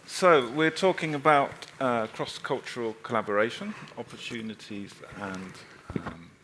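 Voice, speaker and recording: A man's voice: mid-range voice, normal speed, noisy background. A man moderating a conference panel, polite and inviting, with many hesitant fillers. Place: conference stage in a hall.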